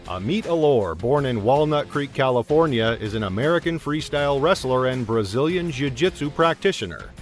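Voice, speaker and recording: A man giving scripted documentary narration in a steady, even read-aloud delivery. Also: medium pitch, moderate pace, hardly any pauses. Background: faint background music.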